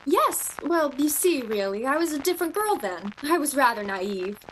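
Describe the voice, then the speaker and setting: A woman acting in a radio play as a romantic, earnest young shop clerk, expressive and theatrical. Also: sits high, moderate pace, a little hiss or hum, poor audio. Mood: confiding, earnest. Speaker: amateur actor.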